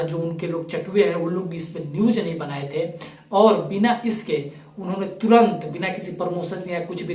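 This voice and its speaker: A man giving opinionated video commentary to viewers in an emphatic, accusatory manner. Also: a little high. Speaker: YouTube commentator. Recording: roomy echo, distant microphone.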